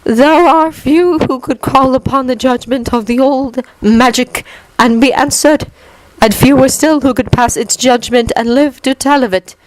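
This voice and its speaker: A regal goblin wise woman of a fantasy story, as a narrator puts her on. Her voice floats high and soft. She speaks slowly and gravely, with serene authority. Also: no room sound, rough recording. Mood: calm, solemn. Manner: soft, slow, murmuring. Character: regal female goblin seer, serene and solemn.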